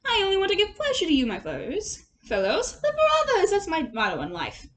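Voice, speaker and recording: A storybook toad gentleman, acted out by a woman, boastful and bossy. The voice is high and leaps about theatrically as it wheedles and gushes. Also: wildly swooping pitch, fairly quick, a little hiss or hum, exaggerated voice. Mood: smooth, persuasive. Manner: imploring, theatrical, gushing. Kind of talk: storybook character voice.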